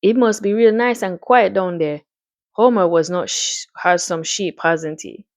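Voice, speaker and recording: A woman voicing an old male village doctor with a Caribbean accent, unhurried and wryly questioning in a storybook reading. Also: fairly low pitch, no echo, clean studio sound. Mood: musing.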